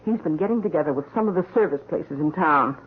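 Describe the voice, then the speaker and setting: A scheming housekeeper who is coolly in control of her employer. Her voice swings expressively and has a husky edge, with insinuating, falsely deferential phrasing. It sounds like a thin, narrow-band old radio drama. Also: low-pitched, lively intonation, quick pace. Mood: firm, accusing. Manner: composed, insinuating, mock-deferential.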